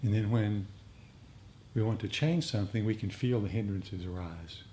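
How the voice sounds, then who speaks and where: Man, low-pitched, meditation teacher, reflective talk, unhurried repeated phrases, slightly noisy live recording. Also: mellow. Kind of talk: reflective dharma talk and group discussion. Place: group meditation meeting.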